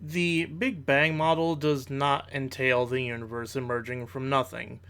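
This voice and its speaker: Guy doing rebuttal commentary, medium pitch, formal and analytical way of talking. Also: level tone, measured pace, a bit bright, clean tone. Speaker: video creator giving rebuttals. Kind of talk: reaction commentary, argumentative.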